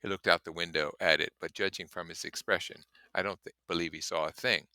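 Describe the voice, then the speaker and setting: A man's voice: sits low, fairly even intonation, a little fast, sharp tone, clear, resonant tone, no room sound. A man reading a story aloud in an audiobook style, with casual commentary of his own. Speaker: reader and commentator.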